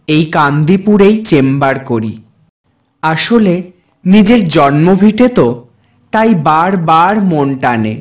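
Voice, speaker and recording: Slow-talking man, earnest doctor played by an actor. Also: fairly high pitch. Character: earnest, kind village-born male doctor telling a ghost story. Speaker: narrator and lead character. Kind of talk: slow dramatic first-person story narration.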